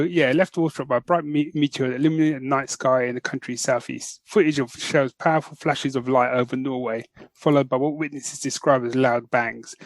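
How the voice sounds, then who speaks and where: A man's voice: medium pitch, dry recording. A man co-hosting a podcast, chatting casually about geek news in a jokey, slangy, conversational way. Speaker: podcast co-host.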